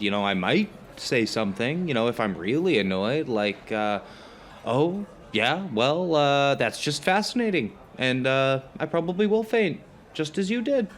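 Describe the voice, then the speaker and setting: An actor playing a hesitant, neurotic dinner companion in an audio drama, speaking in a halting, stammering, hedging way. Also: male voice, on the high side, a little hiss or hum. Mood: sheepish, wry. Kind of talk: conversational audio drama dialogue.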